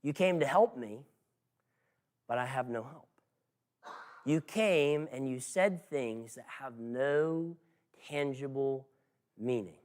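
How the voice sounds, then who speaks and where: A man's voice: mid-range voice, slow pace, long pauses. Earnest, emphatic male preacher. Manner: earnest, exhorting, rhetorical questions; emphatic, swings from hushed to loud. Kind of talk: expository sermon.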